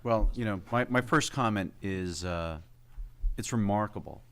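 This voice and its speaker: A man giving unscripted remarks as a committee member at a public meeting, slightly halting and repeating his phrases. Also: on the low side, a bit bright.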